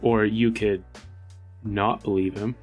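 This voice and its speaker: A man's voice: fairly low pitch, flat delivery, slow pace, darkish tone. A man playing a tabletop game, talking through his character's moves in a casual, conversational way. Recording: music behind. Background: background music.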